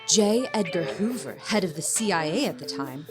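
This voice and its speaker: A woman playing a highly educated, seductive porn star reciting scripted lines in a comedy sketch. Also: lively intonation, normal speed, natural voice. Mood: poised, coy. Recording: loud music under the voice.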